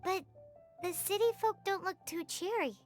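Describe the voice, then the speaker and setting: An actress voicing a tiny, excitable, petulant fairy-like girl companion in a video game. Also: very high-pitched, normal speed. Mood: chirpy observing. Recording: game music behind the voice.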